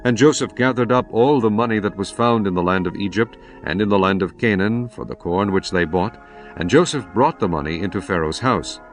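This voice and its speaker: A man narrating scripture in a solemn, expressive scripted reading. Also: on the low side, a little fast. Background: faint music.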